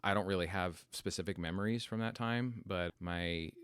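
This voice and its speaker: Very deep-voiced American man, reflective. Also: moderate pace, a bit bright, clean tone, dry recording, clean studio sound. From United States. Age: 40s. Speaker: podcast host telling their life story. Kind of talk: reflective, unscripted personal storytelling.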